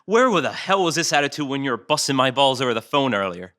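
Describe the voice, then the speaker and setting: A man's voice: medium pitch, very animated, quick pace, fairly bright tone. A man playing an aggressive, foul-mouthed and sarcastic police detective in an audio drama table read. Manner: sarcastic, swearing, badgering.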